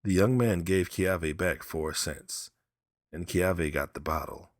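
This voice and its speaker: A man narrating a story as an audiobook reader, in measured, simple phrasing. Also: low voice, no echo, studio quality.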